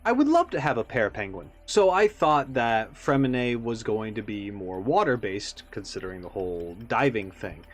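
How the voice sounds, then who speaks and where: A man's voice: fairly low pitch, very animated, normal speed, hardly any pauses, faint music behind. A man giving casual, reflective reaction commentary, rambling as he thinks aloud with self-aware asides. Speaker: reaction video creator. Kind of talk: casual, reflective commentary on a game character video.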